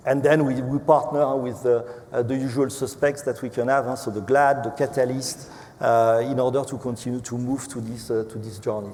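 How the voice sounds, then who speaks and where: A man from France with a French accent speaking as an executive on a panel, halting and hesitant, often repeating words. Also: mid-range voice, a little mellow. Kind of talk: unscripted panel remarks. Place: large event hall. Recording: echoey hall with clear background noise.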